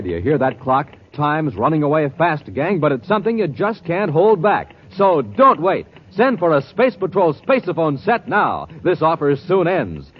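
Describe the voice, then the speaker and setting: A man announcing in scripted 1950s radio style, with urgent, punchy delivery and booming flourishes. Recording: muffled, noisy old radio recording.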